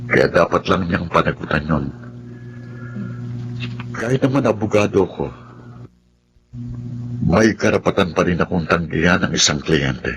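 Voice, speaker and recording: Very deep-voiced earnest Filipino lawyer. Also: male voice, fairly bright tone, slight background noise. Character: principled Filipino male lawyer, earnest, telling his life story. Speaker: drama actor. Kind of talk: Filipino radio drama acting.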